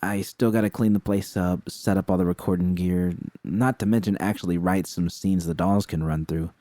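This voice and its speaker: A man voicing a frank, caring young woman technician, informal and slangy, often halting and awkward but reassuring. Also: low-pitched, fairly even intonation, mellow, dry recording, lightly acted. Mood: flustered.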